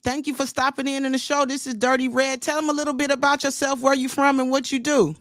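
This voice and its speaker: Raspy slangy woman radio host. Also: sits high, flat delivery, quick pace, dry recording, studio quality. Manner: slangy, hyped. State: has a slight cold. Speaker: show host. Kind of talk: casual interview chat.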